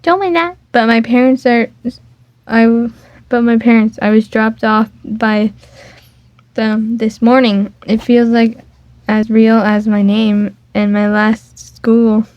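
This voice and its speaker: A woman's voice: fairly high pitch, fairly even intonation, slow pace, no room sound. A woman reading a fan dating sim aloud, rambling and stumbling over words, on a dull lo-fi recording. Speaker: fan game reader. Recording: dull lo-fi dry home mic.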